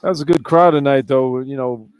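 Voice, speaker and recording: A man's voice: medium pitch, steady tone, brisk, a little mellow, clean tone. A man working as a color analyst on sports radio, talking in a conversational style.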